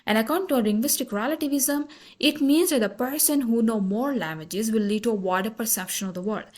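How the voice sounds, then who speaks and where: A woman from Pakistan with a Pakistani accent and Pashto as her first language, giving a slide lecture in a halting, repetitive way with many self-corrections. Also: on the high side, darkish tone.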